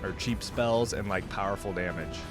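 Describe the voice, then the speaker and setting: A man's voice: on the low side, moderate pace, over loud music. A man hosting a reaction video, commenting casually and thinking aloud in informal language full of "like".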